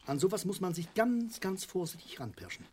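A man's voice: high-pitched, very animated, brisk, darkish tone, slight background noise. An actor playing a pompous, money-hungry middle-aged father in a satirical radio play, blustering and argumentative. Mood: grandiose scheming. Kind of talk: German radio play acting, satirical comedy.

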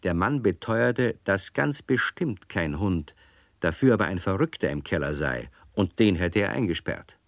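An actor playing a lively storyteller, narrating a scripted children's radio play to young listeners. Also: male voice, low-pitched, normal speed, no echo, clean studio sound. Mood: storytelling, suspenseful.

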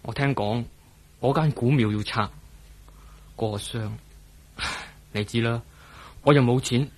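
A man's voice: medium pitch, a little slow, darkish tone, natural voice. A man playing a poor, humble tribesman in a radio drama, polite, hesitant and plaintive. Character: poor, humble tribesman, last of his people. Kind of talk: Cantonese radio drama acting.

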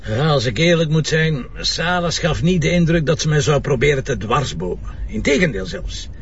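An actor playing a blunt, wry, brooding police commissioner with a Flemish accent in a naturalistic radio drama. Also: male voice, fairly high pitch, very animated, normal speed, vocal fry, far from the mic, muffled recording, a little hiss or hum. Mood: brooding, doubtful.

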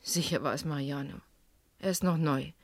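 A woman's voice: low-pitched, moderate pace, dry recording. An actress playing a cool, guarded young nightclub woman under questioning in a German crime radio play, evasive, curt and dryly dismissive.

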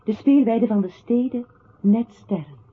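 Detached poetic radio play narrator, measured, tinny narrow sound. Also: female voice, on the high side, breathy edge. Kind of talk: literary radio drama recitation. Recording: thin, phone-like old radio-play recording.

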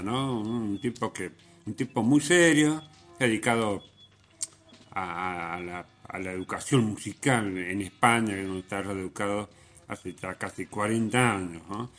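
Slow-talking Argentine man on the radio. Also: fairly low pitch, fairly bright tone. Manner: halting, repetitive, emphatic phrasing. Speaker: radio host. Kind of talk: unscripted radio music commentary.

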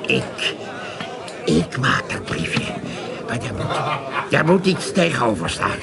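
A lecherous, drunken swindler of a conjurer in a radio play, with a loud crowd around him. His voice is gravelly and slow, and he stammers and repeats words as he leers. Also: mid-range voice, sing-song, exaggerated voice. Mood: lustful, slurring. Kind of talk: Dutch radio play acting.

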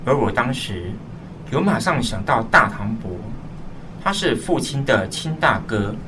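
A man's voice: medium pitch, big pitch swings, a little slow, background noise. A man playing a burdened, dutiful eldest son, narrating a radio drama in a solemn, earnest way. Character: burdened eldest son, dutiful and troubled, telling his story. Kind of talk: scripted dramatic first-person narration. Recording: slightly distant mic, music behind.

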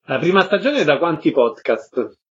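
A man's voice: fairly high pitch, sing-song, moderate pace. A man hosting a radio interview with short questions. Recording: slightly dull radio sound.

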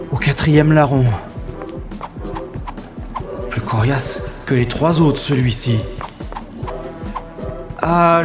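A man's voice: medium pitch, close to an everyday voice. A man playing a cocky, wry special agent who narrates his own scrapes theatrically in a science-fiction audio drama. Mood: fighting, triumphant. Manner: theatrical, slangy, self-narrating. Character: wry, cocky male special agent, talking himself through trouble. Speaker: lead actor in an audio drama. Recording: music under the voice. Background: music.

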